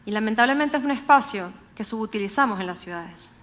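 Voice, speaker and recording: A young Venezuelan woman speaks in a fairly high voice with a Caracas accent. She delivers a prepared public talk as a conference speaker. Her way of talking is measured and explanatory. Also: expressive, a bit bright. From Venezuela, grew up in Caracas. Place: on stage at a live talk event.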